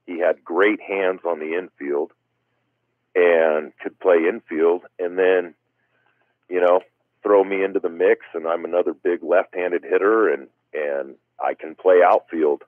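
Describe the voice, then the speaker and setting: Deep-voiced man on a tinny phone line. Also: steady tone, a little slow, no room sound. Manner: rambling, many "you know" fillers. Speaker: guest wiffle ball player. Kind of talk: casual interview chat.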